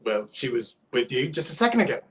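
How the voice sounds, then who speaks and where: A young man playing a smooth, placating police detective in a 1930s-style radio play. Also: mid-range voice, sing-song, fast talker, natural voice. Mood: casual. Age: young adult.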